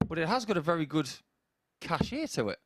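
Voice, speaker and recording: A man's voice: big pitch swings, fairly quick, a little hiss or hum. A man giving live darts commentary, analysing play and chatting with his co-commentator. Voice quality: deep voice. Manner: conversational analysis, with asides to a co-commentator.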